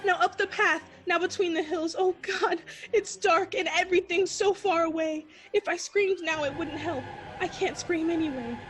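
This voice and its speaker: A woman's voice: extremely high pitch, moderate pace. A woman playing a terrified young woman fleeing home alone at night, talking to herself in breathless, repeated bursts in the style of a 1940s radio play. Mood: panicked, pleading. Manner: breathless, frantic self-talk in short repeated bursts.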